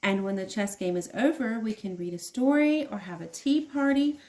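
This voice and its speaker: A woman's voice: medium pitch, moderate pace, a little mellow, close to an everyday voice. A woman voicing a patient kangaroo father in a picture book, soothing and reasoning with his child.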